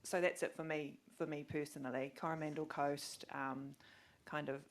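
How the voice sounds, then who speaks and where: A woman's voice: deep voice, little pitch movement, normal speed. A woman with a New Zealand accent, speaking as an author on a panel, chatty and casual. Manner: chatty, casual, many fillers. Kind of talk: panel discussion.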